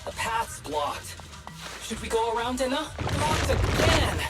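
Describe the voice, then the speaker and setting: A small, excitable robot creature from a video game, high-pitched and bouncing wildly up and down. It shouts and chirps at the end of lines. Loud game music plays behind it. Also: female voice, unhurried. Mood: excited.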